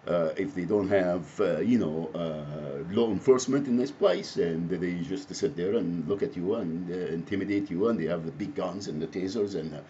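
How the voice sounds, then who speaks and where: Man, low voice, Egyptian Arabic accent, swinging intonation, halting unscripted monologue, repetitive. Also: darkish tone. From Alexandria, Egypt. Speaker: activist, self-described advocate. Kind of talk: impromptu spoken monologue to camera. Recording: muffled audio with faint background noise.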